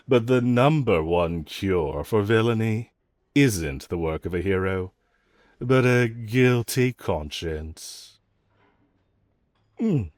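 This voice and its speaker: A man's voice: fairly low pitch, a little mellow, no echo, studio quality. A man playing a cocky, flirtatious, unrepentant villain in an audio roleplay, teasing and mocking the listener with sarcasm. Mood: smug, amused. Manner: teasing, sarcastic drawl with chuckles.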